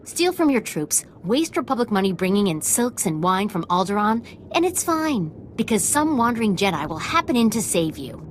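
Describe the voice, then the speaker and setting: An actress playing a blunt, sardonic, aggrieved quartermaster in a sci-fi video game. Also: female voice, fairly low pitch, lively intonation, a little fast, a little hiss or hum, natural voice. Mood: sarcastic, bitter. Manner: sarcastic, blunt. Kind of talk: video game dialogue acting.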